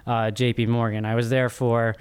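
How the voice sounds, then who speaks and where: Thirties guy as podcast guest, smooth clear voice, earnest, measured, pauses between phrases. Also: medium pitch, little pitch movement. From born in Egypt, immigrated as a small child. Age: 30s. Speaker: podcast guest, first-time author. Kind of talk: interview answers, personal storytelling.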